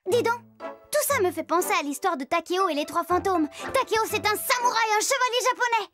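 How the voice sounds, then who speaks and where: Super high kiddy cartoon narrator, chatty n teasing, music playing behind. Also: fairly quick. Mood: playful, eager. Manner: lively, teasing, conversational. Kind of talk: animated storytelling to children.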